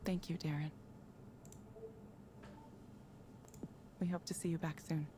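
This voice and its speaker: An actress playing a composed, professional therapy proxy in a video game, reading from a script in a measured way. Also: female voice, low voice, flat delivery, background noise, lightly acted. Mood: polite, formal. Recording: music behind the voice.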